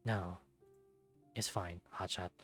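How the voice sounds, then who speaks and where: Suave male raccoon played by a man, visual novel: quiet, low, measured delivery, teasing but guarded, music underneath. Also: fairly even intonation, husky, no room sound, exaggerated voice. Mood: subdued, bitter. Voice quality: low, soft-spoken.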